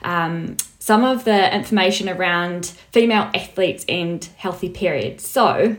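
A woman's voice: lowish voice, a little slow. A woman hosting a podcast interview as a sports dietitian, friendly and upbeat.

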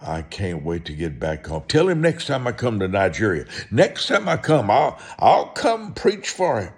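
An American man preaching a motivational sermon and telling stories in a deliberate, emphatic way, repeating short phrases. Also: on the low side, big pitch swings.

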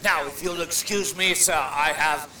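An actor in an audio drama playing a small, ugly, menacing villain who speaks in a curt, coldly formal and hostile way. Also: male voice, fairly high pitch, fairly even intonation, measured pace, pushed and bright, far from the mic. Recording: echoey, set back from mic.

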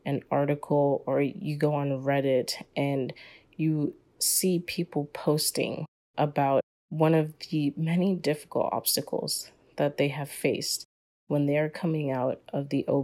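A woman's voice: low-pitched, a little mellow. A young woman hosting a solo podcast episode, talking in an earnest, opinionated way as she explains her views. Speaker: podcast guest host.

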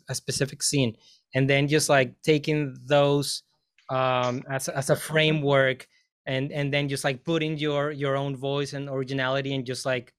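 A man's voice: mid-range voice, clear, resonant tone. A man, a film composer on a panel, talking casually and rambling with many fillers and restarts.

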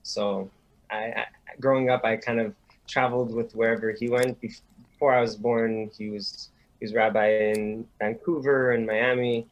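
Guest, young man, mid-pitched voice, hesitant and repetitive starts. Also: fairly even intonation, moderate pace, highs cut off. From Sweden, raised in Montreal. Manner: hesitant, repeats words as he starts. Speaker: guest, rabbinic student. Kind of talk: interview answers.

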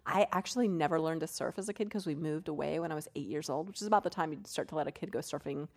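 Low-voiced, bubbly woman guest. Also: very fast, a little mellow. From Florida, USA. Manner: bubbly, enthusiastic, laughs easily. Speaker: author guest. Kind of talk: casual interview chat.

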